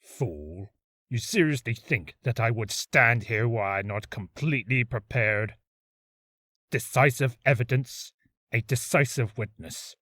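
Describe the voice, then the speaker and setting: A man's voice: mid-range voice, unhurried, pushed and bright, dry recording, clean studio sound, pitched below the performer's own voice. A man playing a menacing, imperious video game prosecutor with a commanding, contemptuous manner. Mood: cold menace. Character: menacing, imperious prosecutor demanding guilty verdicts, vengeful. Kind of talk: video game character acting.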